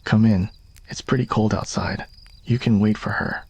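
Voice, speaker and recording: Guy doing a shy friendly teen vampire boy w a crush, kinda low voice, flustered, asmr story. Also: steady tone, moderate pace, a little mellow, slightly rough. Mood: friendly, nervous. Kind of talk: storybook-style ASMR roleplay.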